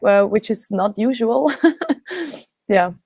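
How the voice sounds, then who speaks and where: A Swiss woman, a guest on a video-call interview, speaking English haltingly, often laughing and hesitating. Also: on the high side, animated, creaky, a little mellow, breathy edge, no echo. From Switzerland. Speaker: rock singer, guest. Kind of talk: casual interview chat.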